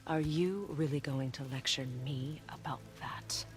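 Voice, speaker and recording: A woman's voice: low voice, unhurried, music in the background. An actress playing a grave, proud witch of the woods, a firm and commanding goddess, in a fantasy video game. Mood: cold, proud. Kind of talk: cinematic game voice acting.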